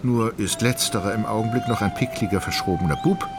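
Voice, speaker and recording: A man narrating a literary radio drama in a measured, wry manner. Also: on the low side, close to an everyday voice. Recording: studio voice over clear music.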